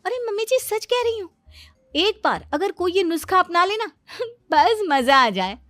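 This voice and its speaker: A woman's voice: very high voice, sing-song, a little slow, fairly bright tone, no echo, pitched above the performer's own voice, exaggerated voice. A woman voicing a boastful young daughter-in-law in a cartoon, eager, insistent and self-assured. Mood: eager, confident. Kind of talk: exaggerated cartoon family-drama acting.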